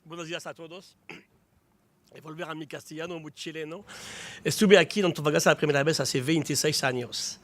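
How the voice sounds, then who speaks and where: A man's voice: fairly high pitch, fairly even intonation, fairly quick, a bit bright. A man, a biologist speaking Spanish as an at a conference panel, explaining with small restarts. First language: French. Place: auditorium.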